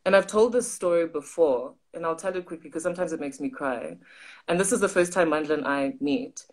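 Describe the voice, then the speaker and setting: A woman's voice: deep voice, fairly quick. A South African woman in her thirties, co-hosting a livestream and telling a personal story in casual chat over a video call from a study at home. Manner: animated, gesturing, voices quoted people. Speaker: co-host. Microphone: video call on phone or laptop.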